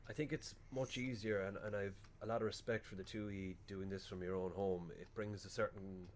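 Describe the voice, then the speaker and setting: Irish actor as bumbling male undertaker, lower register, well-meaning, rambling and hesitant, radio drama. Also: steady tone, brisk, a little hiss or hum, natural voice. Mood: solicitous, hesitant. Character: awkward, chatty, well-meaning male funeral director. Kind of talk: radio play acting.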